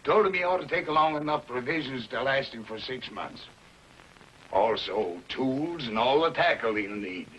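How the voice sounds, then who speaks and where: An actor playing a crusty, excitable old Yukon storekeeper who talks in folksy frontier slang, in a 1950s television western. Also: male voice, medium pitch, normal speed, lightly acted. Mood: gossipy, dramatic. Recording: noisy vintage 1950s television soundtrack.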